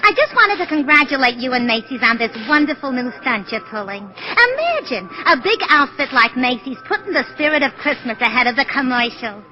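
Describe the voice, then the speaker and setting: High, excitable radio shopper. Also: female voice, a little fast, hardly any pauses. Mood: enthusiastic, emphatic. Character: excitable, emphatic department store customer, a mother. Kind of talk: 1940s radio acting. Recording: dull old 1940s radio recording.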